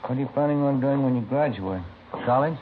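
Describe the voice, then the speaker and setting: An actor playing an easygoing, laconic American police detective, casual and unhurried, in 1950s radio drama style. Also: male voice, medium pitch, narrow, tinny audio, lightly acted. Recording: scratchy, band-limited vintage radio recording.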